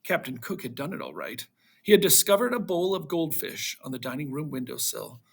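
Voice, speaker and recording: A man reading a children's storybook aloud to schoolchildren in an animated narrating style. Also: a little high, fairly quick, gravelly, breathy edge.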